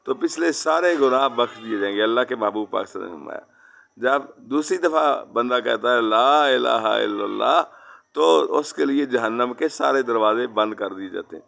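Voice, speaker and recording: Gravelly-voiced man preaching an Islamic sermon. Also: medium pitch, a bit bright. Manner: exhorting, addresses listeners as friends.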